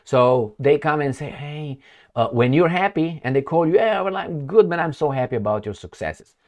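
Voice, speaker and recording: A man's voice: a little high, expressive, moderate pace, darkish tone. A man giving casual, sarcastic commentary on news he reads aloud, breaking into asides and mock dialogue.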